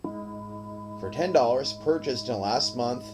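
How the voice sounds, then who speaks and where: A man hosting his own independent show, talking in a rambling, unscripted way, halting and drifting into asides. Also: mid-range voice, faint music behind. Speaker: host of an independent podcast and TV news show. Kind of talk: rambling unscripted monologue to listeners.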